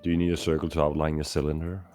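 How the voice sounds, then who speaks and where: A man's voice: a bass voice, little pitch movement, very fast, warm tone, faint music behind. A man with a Danish accent running a tabletop game as game master, joking and self-deprecating between his narration.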